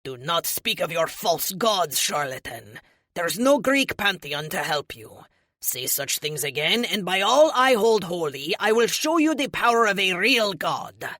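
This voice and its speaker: A woman voicing a pompous, self-righteous male superhero leader who speaks in a stern, slow, proclaiming way. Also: low-pitched, lively intonation, fairly bright tone, dry recording. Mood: fierce.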